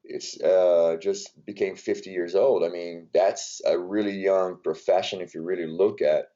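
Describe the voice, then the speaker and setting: A man's voice: low-pitched, fairly even intonation, a little slow, warm tone. A man from Brazil with a Brazilian accent, speaking non-native English in a casual interview and rambling with many fillers as a strength coach. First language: Portuguese. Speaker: NBA strength and conditioning coach. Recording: dull lo-fi audio.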